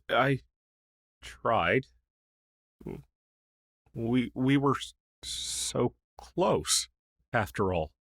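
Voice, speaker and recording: A man plays a gentle old male crow familiar, a wistful rogue demon in a fantasy ASMR roleplay. His voice is low and he talks very slowly, halting and sighing softly. The sound is dry and intimate. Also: gravelly, no echo, heavily acted. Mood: sad, hesitant. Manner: hesitant, halting, soft-spoken with sighs.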